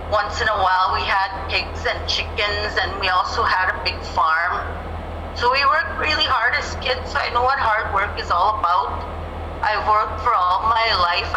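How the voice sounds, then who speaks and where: A middle-aged woman speaks slowly and deliberately, laying out her case in a plain, earnest way. Her voice comes through a phone on a video call, with a roomy echo around it. Steady background noise sits under her words. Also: mid-range voice. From Manitoba, Canada. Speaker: candidate for chief. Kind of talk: campaign speech at a community forum.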